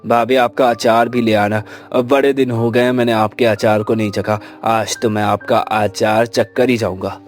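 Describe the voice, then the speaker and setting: A man's voice: on the low side, little pitch movement, normal speed, few pauses, faint music behind, lightly acted. Man voicing a chatty, eager cartoon family friend. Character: friendly, eager young family friend who loves food. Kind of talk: Hindi moral cartoon story acting.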